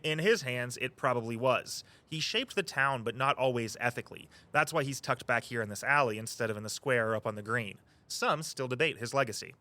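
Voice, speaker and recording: An actor playing an eager, earnest walking-tour guide who tells local history with a storyteller's flair. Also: male voice, medium pitch, brisk, few pauses, pushed and bright, lightly acted. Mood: friendly, matter-of-fact. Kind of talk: audio drama dialogue, storytelling tour patter.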